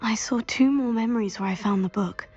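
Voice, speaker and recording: An actress playing a composed, dutiful teenage witch student in a game, calm and respectful. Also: fairly high pitch, a little fast, dull audio, faint music behind, natural voice. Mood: earnest, calm. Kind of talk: dramatic game cutscene acting.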